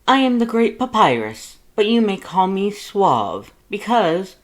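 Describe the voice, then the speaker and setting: A vain male skeleton, pitched high and projected loudly. He speaks with pompous formality and theatrical false sweetness, like the grand star of an audiobook fantasy. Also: big pitch swings, measured pace. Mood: grandly cheerful.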